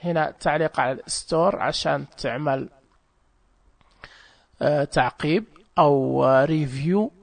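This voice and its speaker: A man talks through a phone app in a relaxed, explaining tone, his voice sitting a little high for a man. The sound is dry and close, with no room around it, and he now and then stumbles and restarts mid-word. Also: moderate pace, gravelly, long pauses, dry recording. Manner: chatty, explains step by step with hesitations. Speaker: app tutorial presenter. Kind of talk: casual screen-recorded app walkthrough.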